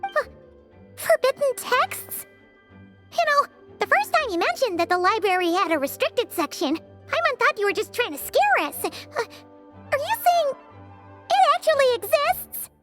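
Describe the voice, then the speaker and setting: A woman voicing a tiny, childlike fairy companion in a video game, squeaky, excitable and naive. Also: measured pace, faint music behind, exaggerated voice. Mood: startled, sheepish. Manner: squeaky, speaks of self in third person.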